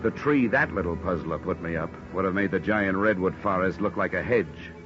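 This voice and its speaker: A male actor plays a hard-boiled American private investigator with a low voice and a deadpan, wisecracking edge. He sounds like old radio noir, in a dull lo-fi recording with music playing behind. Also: moderate pace, natural voice. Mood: wry, tense narration. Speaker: actor narrating and playing a detective.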